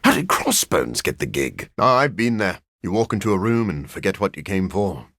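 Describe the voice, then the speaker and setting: An actor playing a brooding, erudite vampire scientist in a video game, raspy and gravelly, with formal, precise, deadpan phrasing and a dry sardonic streak. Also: male voice, low-pitched, normal speed, no room sound, full character voice. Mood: dry, thoughtful. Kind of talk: video game character voice lines.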